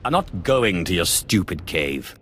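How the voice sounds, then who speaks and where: Very deep, scornful warrior. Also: male voice, very animated, measured pace, a bit bright, breathy edge, heavily acted. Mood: defiant. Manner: curt, dismissive. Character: scornful, gruff male warrior, defiant and sardonic. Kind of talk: scripted video game dialogue.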